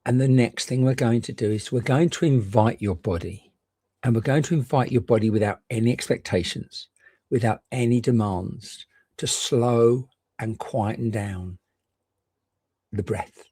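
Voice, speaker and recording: A man gently and reassuringly guiding a meditation, leaving unhurried pauses between short phrases. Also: mid-range voice, slightly rough, dry recording. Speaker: meditation guide. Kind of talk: guided meditation.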